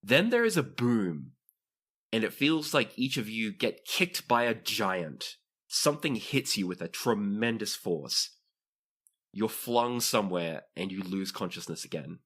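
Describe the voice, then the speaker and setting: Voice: medium pitch, very animated, measured pace, fairly bright tone. A game master narrating a tabletop roleplaying podcast, switching between dramatic storytelling and dry joking.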